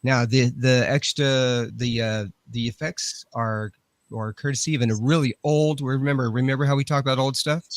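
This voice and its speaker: A man's voice: mid-range voice, fairly even intonation, normal speed, no room sound. A man speaking as a guest in a casual chat, rambling and apologizing for interrupting, through a studio microphone with an old effects unit on his voice. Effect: voice run through an old effects unit. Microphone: radio station studio microphone.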